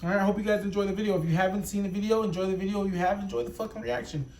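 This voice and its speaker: Hyped young reaction-channel host. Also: male voice, high voice, rapid-fire, a little mellow, poor audio. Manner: rambling, profane, hyped street slang. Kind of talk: casual vlog intro.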